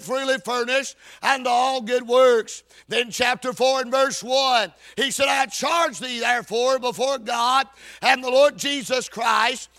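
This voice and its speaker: A man's voice: very high-pitched, bright, forceful tone. A man preaching a fervent evangelical sermon in a church, with an emphatic, declamatory delivery in short bursts. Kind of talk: fervent evangelical sermon with scripture reading and prayer. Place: church service.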